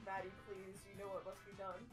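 A woman's voice: mid-range voice, completely flat delivery, brisk, music in the background. A woman bantering during a video game, theatrical and exasperated with her friends. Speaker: player in a group video game match. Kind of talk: casual gameplay banter.

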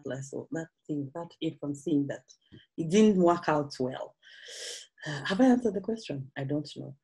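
A woman with a Kenyan English accent speaks in a low, mellow voice. She chats freely and animatedly, stopping and restarting her thoughts as she answers questions. She is heard over a laptop video call from a room at home. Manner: animated, gesturing hands, chatty with frequent restarts. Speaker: musician guest speaker. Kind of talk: casual talk answering audience questions. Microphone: video call laptop camera.

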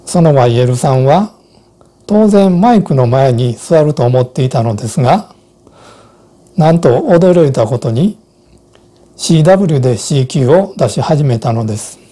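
A man in his sixties giving measured, explanatory narration from a script as an instructor. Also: mid-range voice, animated, warm tone.

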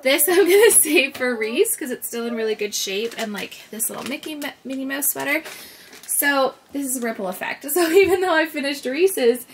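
A woman's voice: high voice, expressive, moderate pace, slight background noise. A woman in her thirties, a mom and vlogger, chatting casually while she organizes her daughters' closets and laughing often. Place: kids' bedroom in a home.

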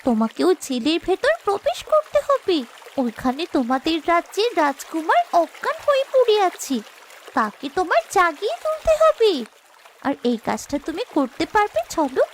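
A woman's voice: very high voice, huge pitch swings, normal speed, a little hiss or hum, full character voice. A woman voicing a brave human child in a fairy-tale cartoon, speaking in an earnest, urgent way. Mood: hopeful, anxious.

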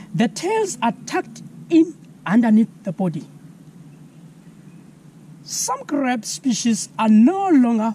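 A South African man in his thirties teaches children slowly and clearly, his pitch rising and falling in a lively way. He pauses to ask listeners to spell new words. He is recorded outdoors on a camera mic, with faint background noise. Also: low-quality recording. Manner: slow, clear, asks viewers to spell words. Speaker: environmental educator. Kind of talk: children's science lesson. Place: outdoors on a lawn.

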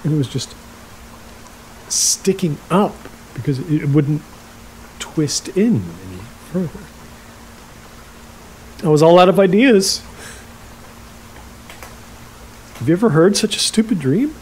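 An actor playing a lonely, awkward middle-aged rental host who rambles haltingly and repeats himself in a naturalistic audio drama. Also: male voice, fairly high pitch, lively intonation, a little mellow, slight background noise, rough recording. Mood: agitated amusement.

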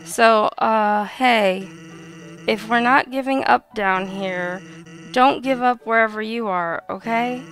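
A woman's voice: fairly high pitch, takes it slowly, a bit bright, faint music behind. A woman voicing a laid-back, kindly male skeleton in a casual, understated way for a video game. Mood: gentle, subdued. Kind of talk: video game dialogue reading.

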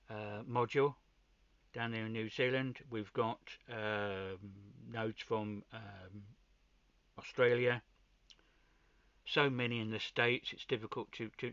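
A man's voice: mid-range voice, a bit bright, dry recording. A man giving a casual, unscripted equipment walkthrough as a hobbyist, often hesitating and repeating his words. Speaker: amateur radio hobbyist presenting a demo. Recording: dull, lo-fi sound.

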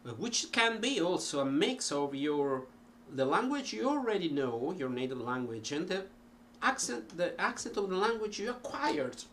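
A middle-aged man with an Italian accent, talking casually to a webcam about learning languages, slow and full of ums and restarts. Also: sits high, very animated, a bit bright, a little hiss or hum. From Italy. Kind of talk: casual talk to camera. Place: cluttered home music room.

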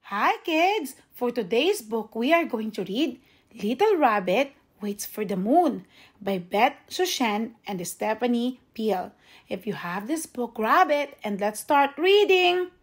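A woman narrating a children's storybook aloud in an expressive, deliberate storytelling manner. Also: a little high, slightly rough. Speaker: storybook reader, channel host.

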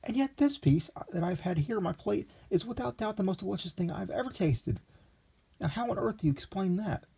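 A naive, excitable young man gushes in breathless, climbing exclamations, put on by a male storyteller. The voice sits high and swoops up at every surprise. A husky, gravelly grain runs underneath. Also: brisk, exaggerated voice. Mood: bewildered.

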